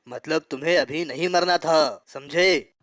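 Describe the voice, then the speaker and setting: A man's voice: on the high side, unhurried, fairly bright tone, no room sound, clean studio sound. A man voicing a brusque, bureaucratic afterlife official in a cartoon, firm and officious. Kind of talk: cartoon voice acting.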